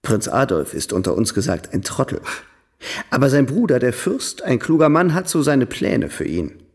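An actor playing a charming, worldly young European gentleman in a radio drama, polite, effusive and playfully theatrical. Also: mid-range voice, sing-song, normal speed, vocal fry, slightly rough, heavily acted. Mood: confiding, amused.

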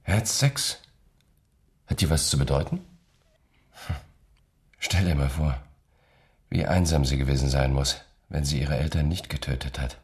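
Casting: thoughtful husband in radio play, bass-deep male voice, easy musing delivery. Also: a little slow, long pauses, darkish tone. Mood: musing, curious. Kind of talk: German radio drama dialogue.

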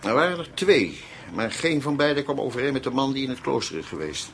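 A man's voice: medium pitch, very animated, fairly bright tone. A man playing a dutiful, matter-of-fact police commissioner reporting findings in a radio drama. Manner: factual, measured. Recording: radio play with noticeable background noise.

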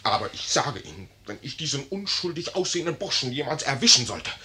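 An actor playing a young, hot-headed and naive army lieutenant, indignant and declamatory, in a 1950s German radio play. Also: male voice, medium pitch, big pitch swings, fairly quick, pushed and bright. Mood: aggrieved.

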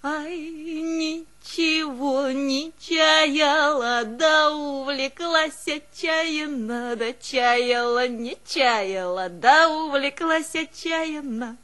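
A woman's voice: high voice, a bit bright, full character voice. An actress playing a weathered, sorrowful village woman, in a folksy, dramatic theatre monologue that slips into song. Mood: plaintive. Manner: drawn-out, folksy, dramatic, swinging from murmur to outcry. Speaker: stage actress in a one-woman show. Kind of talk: theatrical monologue with folk singing.

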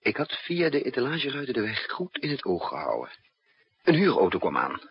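An actor playing a shrewd, composed male spy who narrates and talks in a measured, wry way in a 1960s radio drama. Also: medium pitch, huge pitch swings, slightly rough, no echo, heavily acted. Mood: brisk, urgent. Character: shrewd fugitive male spy, calm and teasing. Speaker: lead character and narrator. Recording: muffled noisy 1960s radio recording.

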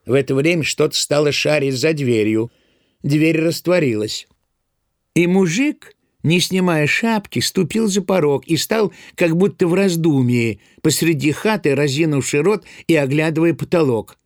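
A man's voice: on the high side, moderate pace, no room sound, clean studio sound. A man narrating a classic literary tale as the storyteller of a Russian audio play.

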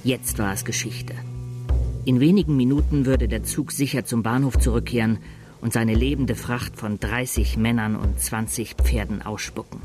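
An actor playing a storyteller who narrates a chronicle for a radio play in a measured, suspenseful way. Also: male voice, mid-range voice, steady tone, natural voice. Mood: tense. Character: dramatic male storyteller reading a newspaper chronicle. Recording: narration over music.

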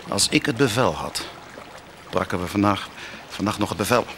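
Casting: callous soldier, lowish voice, curt and commanding radio play delivery over noisy watery ambience. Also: male voice, normal speed, fairly bright tone. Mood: tense, discontented. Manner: curt, clipped orders. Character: hard, callous army officer, fearful of plague. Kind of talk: radio drama dialogue.

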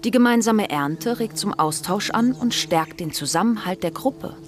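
An actress as the detached, observant narrator of a satirical radio drama, reading in a measured, matter-of-fact, deadpan manner. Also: female voice, medium pitch, close to an everyday voice.

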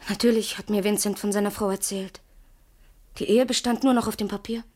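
An actress playing a bitter, defiant young model who has been jilted, in a 1980s German radio crime drama. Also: female voice, medium pitch, fairly even intonation, brisk, a little mellow, natural voice. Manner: snippy, defensive.

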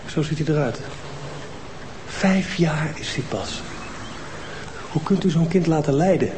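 A man's voice: fairly high pitch, measured pace, background noise, close to an everyday voice. An actor playing a desperate father who pleads urgently for his sick child. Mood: pleading. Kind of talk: TV crime drama acting.

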